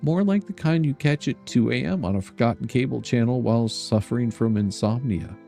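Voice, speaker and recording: A man's voice: mid-range voice, fairly quick, vocal fry, warm tone, soft background music. An older man hosting a stream, reading a letter aloud in a sarcastic, mocking and theatrical way. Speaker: streamer and host.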